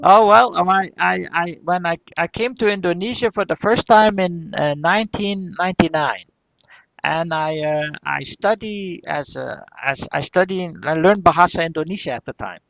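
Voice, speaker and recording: A man with a Flemish accent speaks in a notably high voice, caught in dull, dry lo-fi audio. He is an academic fielding seminar questions, often circling back over his words and asking rhetorical questions as he goes. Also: normal speed, creaky, a bit bright, dry recording. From northern Belgium. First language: Flemish Dutch. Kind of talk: answering audience questions at an online seminar.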